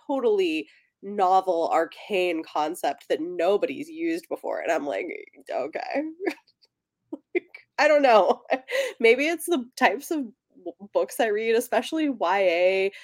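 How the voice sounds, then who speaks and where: A woman's voice: medium pitch, no room sound, studio quality. A woman co-hosting a podcast in a casual book discussion, speaking tentatively and often correcting herself as she goes. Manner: hesitant, self-correcting, tentative phrasing. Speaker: podcast co-host.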